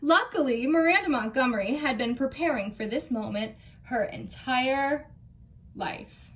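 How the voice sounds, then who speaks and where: Children's librarian, female, American. High-pitched, expressive storytime read-aloud, engaging young listeners directly. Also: unhurried. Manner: animated, asks listeners questions.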